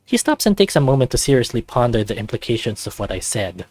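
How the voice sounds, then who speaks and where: A man with a mid-pitched voice hosts a visual novel read-along. He narrates and chats in a casual way, and the sound is very dry with no room on it. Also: very animated, fast talker, a little mellow, no room sound, faint music behind. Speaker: host of a let's-read channel.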